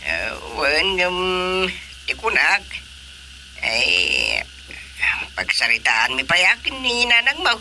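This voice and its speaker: A man's voice: sits high, wildly swooping pitch, takes it slowly, sharp tone, a little hiss or hum, full character voice. An actor playing a plain-spoken elderly father with an Ilocano Filipino accent in a radio drama. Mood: coaxing.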